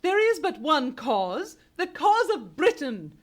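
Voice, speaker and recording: A woman's voice: high-pitched, very animated, slow, heavily acted. An actress in a live radio play playing a haughty patriotic young Englishwoman who dismisses her sister with scorn. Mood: scornful.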